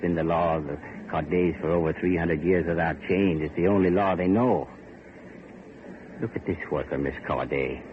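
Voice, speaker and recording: An actor playing a grim, bitter factory overseer on an island in a vintage radio horror drama, speaking in a dour, ominous, rustic way. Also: male voice, sits low, fairly even intonation, moderate pace, close to an everyday voice. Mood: bitter, stern. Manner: dour, ominous, archaic rustic speech with "aye" and "ain't". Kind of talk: 1930s-40s radio horror drama acting. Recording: muffled, noisy old radio recording.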